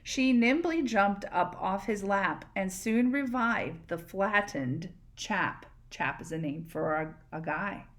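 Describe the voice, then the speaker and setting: A woman reading a picture book aloud expressively, pausing to explain words and ask questions. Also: mid-range voice, animated, fairly bright tone. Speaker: read-aloud storyteller, teacher.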